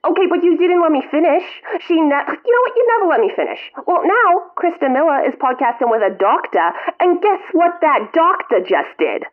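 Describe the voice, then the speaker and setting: A self-pitying old mother, put on by a woman, nags down a thin, tinny phone line. Her high voice swoops dramatically, soaked in guilt and melodrama. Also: very animated, fairly quick, few pauses. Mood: nagging. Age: over 60. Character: guilt-tripping elderly mother in a retirement home.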